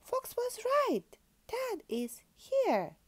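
Woman voicing an eager young bear cub, drawn-out exclamations. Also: very high voice, huge pitch swings, voice pushed up, full character voice. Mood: excited. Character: eager young female polar bear searching for her dad. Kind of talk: expressive picture-book read-aloud.

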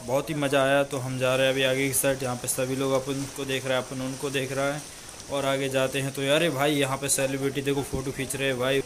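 A man's voice: medium pitch, completely flat delivery, fairly quick, a crowd in the background. A young man in his twenties talking casually to viewers in a travel vlog, filmed on a phone held at arm's length outdoors on a beach. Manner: chatty, addresses viewers as friends. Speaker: vlogger. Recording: loud outdoor noise.

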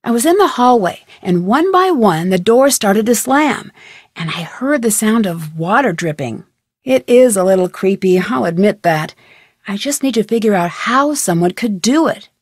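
An actress playing a curious, level-headed young female detective in an adventure game, speaking in an earnest, composed, clearly enunciated way. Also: mid-range voice, expressive, normal speed, no room sound, studio quality, lightly acted. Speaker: voice actor, game protagonist. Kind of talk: scripted adventure game dialogue.

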